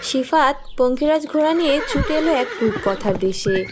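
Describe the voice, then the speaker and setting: A woman narrating an animated fairy tale as a scripted storyteller. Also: high voice, normal speed, very noisy.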